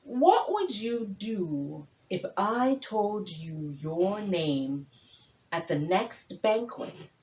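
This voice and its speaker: A woman voicing a small, sly male tortoise in a folktale, speaking slowly and deliberately. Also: mid-range voice, big pitch swings, darkish tone, lo-fi sound, exaggerated voice. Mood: sly, measured. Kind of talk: theatrical folktale storytelling.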